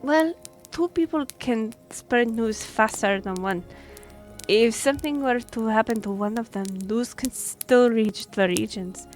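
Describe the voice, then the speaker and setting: A woman's voice: a little high, unhurried, faint music behind, lightly acted. A woman playing a courteous half-elf royal liaison in a fantasy audio drama, speaking formally and explaining things with some hesitation. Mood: troubled, musing.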